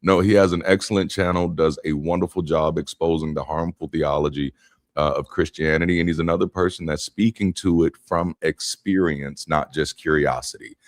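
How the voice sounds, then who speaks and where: A man with a very low voice hosts a live stream in a relaxed, teasing way, laughing easily between lines. His voice sits close and dry, with no room sound around it. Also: normal speed, dry recording. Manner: playful, teasing, laughs often. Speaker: host. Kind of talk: casual livestream chat.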